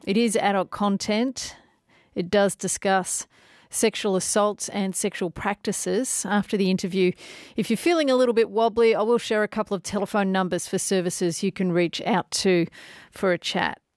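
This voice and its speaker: A woman's voice: medium pitch, fairly even intonation, moderate pace, no room sound, faint music behind. A woman, hosting a radio interview in an artist's studio, chatty and playful with her guest. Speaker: radio presenter.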